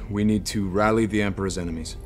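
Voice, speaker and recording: Male actor as young dark apprentice, low voice, earnest, determined, terse, cinematic game acting. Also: steady tone, quick pace, close to an everyday voice. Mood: resolute. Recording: loud music behind.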